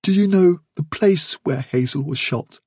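A man's voice: fairly high pitch, a little slow, darkish tone, breathy edge, dry recording, studio quality, pitched above the performer's own voice. A man voicing a nervous young male rabbit in a storybook reading. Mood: anxious. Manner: small, anxious, pleading.

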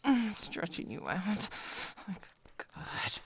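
A woman playing a dominant, possessive lover in intimate adult roleplay audio, mostly in a breathy whisper with drawn-out sighs between short phrases. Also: mid-range voice, big pitch swings, background noise. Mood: possessive, aroused.

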